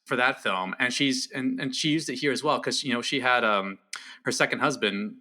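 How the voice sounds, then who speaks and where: A man talking casually about an old film as a podcast guest, animated and quick to laugh, acting out lines in a raised voice. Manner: animated, laughs often, acts out quotes in high voice.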